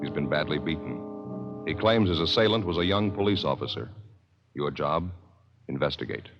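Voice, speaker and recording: A man announcing in 1950s radio style, with dramatic, emphatic pitchman delivery. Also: animated, normal speed. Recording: muffled old radio broadcast with reverb and music.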